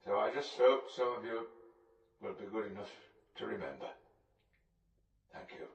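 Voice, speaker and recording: A man's voice: fairly low pitch, moderate pace, gravelly, distant mic. An actor playing a lonely, grieving, stubborn man with a northern English accent in a radio play. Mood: grieving, subdued. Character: lonely, grieving, stubborn Lancashire man making a public stand. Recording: echoey hall, music behind, off mic.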